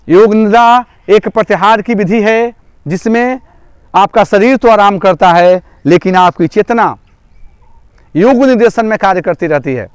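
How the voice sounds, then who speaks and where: High-pitched, clear-voiced male relaxation guide. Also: dry recording. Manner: repetitive, step-by-step instructing. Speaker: yoga nidra instructor. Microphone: microphone through a loudspeaker.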